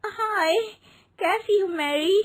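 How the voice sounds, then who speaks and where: Very high, affected young diva. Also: female voice, drawn-out words, full character voice. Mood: breezy. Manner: affected, theatrical, cooing. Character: vain, spoiled young actress daughter-in-law. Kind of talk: animated Hindi cartoon story acting.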